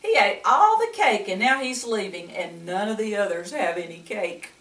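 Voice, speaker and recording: An older woman reads a picture book aloud to children in a grandmotherly way, pausing for chatty asides and coaxing questions. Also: mid-range voice, animated, a bit bright. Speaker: storyteller reading to children. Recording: echoey room, distant mic.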